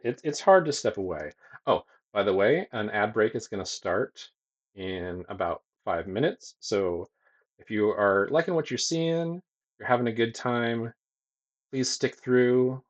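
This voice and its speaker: Easygoing, soothing-voiced young man streaming. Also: fairly low pitch. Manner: easygoing, reads chat aloud, frequent ums. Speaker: streamer and digital sculptor. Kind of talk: casual livestream chat with viewers. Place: home streaming room with green lighting.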